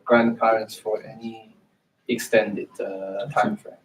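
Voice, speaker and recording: Halting man chatting between sessions. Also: lowish voice, little pitch movement, roomy sound, far from the mic, over music, low-quality recording. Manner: halting, many restarts and "uh"s. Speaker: workshop organizer. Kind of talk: casual chat.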